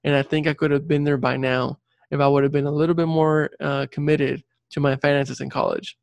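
Young man with a creaky voice. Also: a little high, level tone, brisk, no echo. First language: Spanish. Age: late 20s or 30s. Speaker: interview guest, civil engineer and career coach. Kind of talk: casual interview talk.

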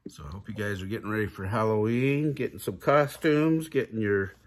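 A middle-aged man with a husky voice reading a children's storybook aloud, with casual asides and questions to the young listeners. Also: fairly low pitch, fairly quick, a little hiss or hum. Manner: chuckles often, asks the listening children questions. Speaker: grandpa reading picture books aloud for kids. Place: living room, indoors.

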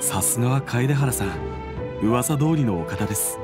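A man playing an earnest detective agency employee in an anime game, speaking in a formal, deferential, measured way. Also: mid-range voice, expressive, close to an everyday voice. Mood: deferential, helpful. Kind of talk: Japanese anime game dialogue. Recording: faint music under the voice.

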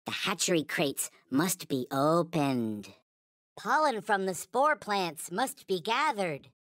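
A tiny toy space alien from a video game, naive and endlessly grateful. The voice is high and childlike, delivered at an unhurried pace with lively rises and falls. The phrasing is stilted, solemn and formal, as if everything were sacred. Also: male voice, dry recording, clean studio sound, exaggerated voice. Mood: solemn, reverent. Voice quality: high-pitched, childlike cartoon voice. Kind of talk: video game character voice lines.

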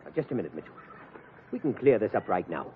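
An actor playing an indignant, well-spoken British agent in an old-time radio adventure, formal and self-assured. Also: male voice, lowish voice, sing-song, fast talker. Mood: accusing, assured. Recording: muffled, noisy vintage radio recording.

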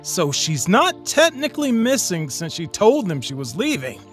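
Earnest young man played by an actor, expressive. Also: high-pitched, normal speed, faint music behind. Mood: earnest, concerned. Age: young adult. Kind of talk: scripted audio drama dialogue.

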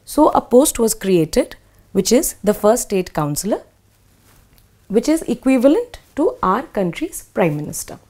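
A woman in her thirties with an Indian English accent, clearly and methodically explaining a school English lesson. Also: a little high, big pitch swings, measured pace, dark tone. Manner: clear, methodical, spells words out. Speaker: English teacher. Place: small studio in front of a whiteboard.

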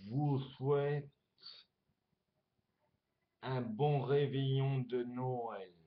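Very slow, halting man reciting poetry. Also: medium pitch, fairly even intonation. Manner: halting, phrase-by-phrase delivery with long pauses. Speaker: amateur poet. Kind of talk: reciting his own Christmas poem. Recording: dull lo-fi amateur recording.

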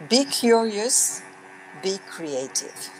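A middle-aged woman with a French accent, a guest speaking thoughtfully with pauses on a video call from a room at home. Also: medium pitch, big pitch swings, slight background noise, poor audio. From France. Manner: reflective, pausing, with soft laughs. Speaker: guest dancer and actress. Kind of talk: video call panel talk. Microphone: laptop webcam on a video call.

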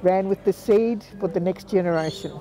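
Older Australian woman, mellow voice. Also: mid-range voice, moderate pace, soft background music. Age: 70s. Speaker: community elder interviewee. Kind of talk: reflective interview storytelling. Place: outdoors on a planted patio. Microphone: lapel mic.

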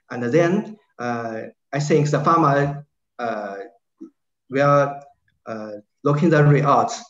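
A man with a Chinese accent speaks slowly and haltingly on a video call, stopping often with fillers and restarts. The call audio is dull and lo-fi, with a roomy echo around him. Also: mid-range voice. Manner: halting, many fillers and restarts, searching for words. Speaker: invited speaker, agricultural researcher.

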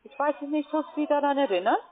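Foreign woman doing a scripted sales call, highish voice, not native, thin phone line. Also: fast talker. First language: not German. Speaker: telephone sales caller. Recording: tinny narrow-band phone line.

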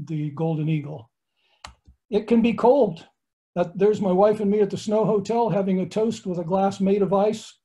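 Older American man lecturing, mellow tone. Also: high voice, poor audio. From United States. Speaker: astronomer and tour lecturer. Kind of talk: online slideshow lecture. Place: home study with bookshelves.

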